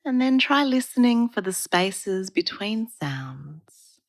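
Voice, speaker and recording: A woman guiding a relaxation practice as a yoga therapist, speaking in a calm, soothing, unhurried manner with pauses. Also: medium pitch, no echo, studio quality. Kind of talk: guided meditation narration.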